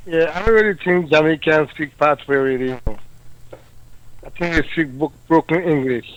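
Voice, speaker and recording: A male radio caller with a Grenadian accent and a slightly high voice. He comes through on a dull, lo-fi line. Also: moderate pace.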